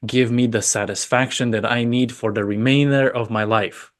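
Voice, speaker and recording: A man from Romania with a slight Romanian accent, answering interview questions in a measured, thoughtful, earnest way. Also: mid-range voice, fairly even intonation. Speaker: podcast guest.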